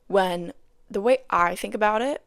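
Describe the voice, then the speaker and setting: A young woman hosting her own podcast, talking casually and sounding tired, with a raspy voice and lots of "like" in her speech. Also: mid-range voice, moderate pace, no room sound, studio quality. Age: young adult. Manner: chatty, peppered with "like". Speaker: podcast host. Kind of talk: casual solo podcast chat.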